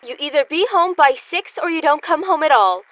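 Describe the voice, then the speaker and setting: An actress playing a firm, no-nonsense wife in a domestic comedy radio play. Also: female voice, high-pitched, expressive, a little fast, a bit bright, no echo, highs cut off. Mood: stern. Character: firm, no-nonsense wife, stern with her husband.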